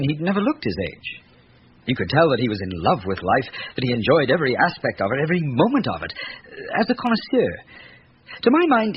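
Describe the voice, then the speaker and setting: A man's voice: medium pitch, close to an everyday voice. An actor playing an earnest, well-bred young grandson of an aristocratic family, reflective and hesitant as he gives his account in a radio drama. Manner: reflective, articulate, hesitant in recalling. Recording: muffled, noisy old radio recording.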